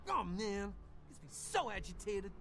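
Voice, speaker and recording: High-voiced, excitable slacker ghoul. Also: male voice, unhurried, heavily acted. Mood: agitated, offended. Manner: gushing, agitated, slangy, stammering. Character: excitable, whiny young male vampire's ghoul, slacker hanger-on. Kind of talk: video game character dialogue. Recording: game music behind the voice.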